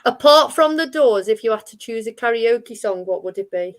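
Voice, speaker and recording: A woman's voice: fairly high pitch, expressive, moderate pace. A woman hosting a casual radio chat, reading out listener messages in a chatty way. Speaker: radio host.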